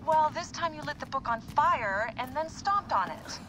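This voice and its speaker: A woman playing a bossy but caring, sardonic medical officer in a video game, brisk and insistent. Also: high-pitched, expressive. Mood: wry, insistent. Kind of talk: naturalistic video game acting. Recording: clear background noise.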